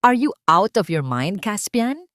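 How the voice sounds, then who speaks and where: A woman narrator plays a rich, snobbish daughter-in-law in an audio story. She is furious and scornful, with a sharp tongue that cuts down anyone beneath her. The recording is very dry. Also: medium pitch, very animated, a little slow, no echo, voice pushed up. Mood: outraged, scornful.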